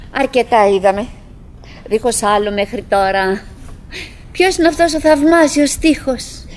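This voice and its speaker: An actress playing a cheerful, fretful middle-aged wife who muses to herself in fragments and sighs in a live stage monologue. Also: female voice, a little high, close to an everyday voice. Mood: plaintive. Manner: fragmented musing with sighing exclamations. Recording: noisy 1979 live theatre recording.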